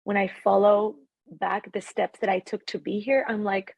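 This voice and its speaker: A woman from Ecuador, a podcast guest, talking in a reflective, animated way about her life. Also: mid-range voice, normal speed, vocal fry, husky, no echo. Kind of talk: casual conversation.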